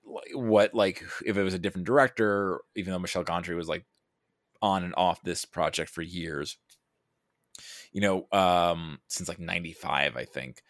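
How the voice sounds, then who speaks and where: A man with a low-pitched voice talks casually about film on a podcast, recorded close and dry. He works through his thoughts aloud, often halting and rephrasing as he goes. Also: steady tone, dry recording. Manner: halting, self-correcting, thinking aloud. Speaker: podcast guest or co-host discussing a film.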